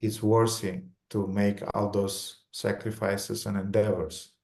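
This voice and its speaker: A man with a Romanian accent giving a religious lecture in short phrases, pausing for an interpreter. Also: fairly low pitch, level tone, a little mellow.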